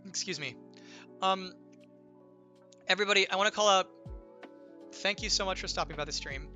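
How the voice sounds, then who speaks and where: Man with a strained, bright voice. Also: high-pitched, moderate pace, soft background music. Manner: rambling explainer, heavy on "like" and "right?", playful teasing. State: slightly strained, losing voice from illness. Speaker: game designer streaming to viewers. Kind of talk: casual livestream Q&A.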